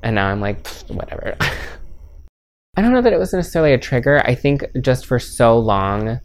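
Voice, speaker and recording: A man's voice: mid-range voice, sing-song, brisk. A man in his twenties talking openly in a casual interview, easygoing and candid, with many filler words. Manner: chatty, frequent filler "like".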